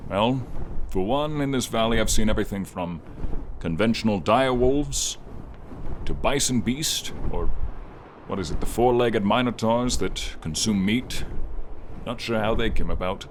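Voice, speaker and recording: A friendly, protective dragon voiced by a man speaks in a lowish register. The delivery is slow, formal and tender, as in an intimate fantasy audio role play. A noticeable bed of rainy ambience sits behind the voice. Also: animated. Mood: musing, practical. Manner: slow, formal, tender and teasing address to listener. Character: friendly silver dragon, male, gentle protective old guardian. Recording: clear background ambience noise, light rain effect. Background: light rain and flying ambience.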